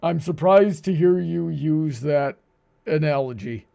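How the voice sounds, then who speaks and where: High-voiced, creaky male livestream host. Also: unhurried, mellow. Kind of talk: casual livestream chat.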